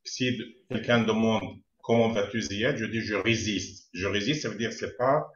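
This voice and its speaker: A man moderating a video call discussion, explaining terms in a brisk, urging way. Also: on the low side. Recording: roomy, distant, lo-fi call audio.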